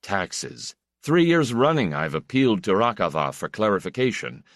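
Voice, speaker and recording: A man's voice: fairly low pitch, sing-song, moderate pace, no echo, clean studio sound. A man reading the part of a grave, diffident, watchful older brother in a story, with an ironic edge. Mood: bitter, ironic.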